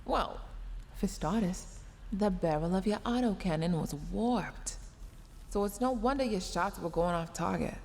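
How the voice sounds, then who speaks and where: A woman's voice: mid-range voice, unhurried, gravelly, a little mellow, long reverb tail, slight background noise. A woman voicing a tsundere mech engineer in a sci-fi audio roleplay, sarcastic and exasperated, scolding while secretly caring. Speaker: roleplay voice performer.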